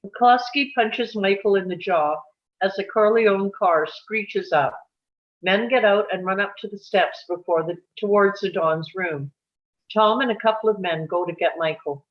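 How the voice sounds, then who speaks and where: A woman narrates stage directions at a script read. Her pitch is middling and the delivery is scripted. The recording is dull and lo-fi. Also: unhurried.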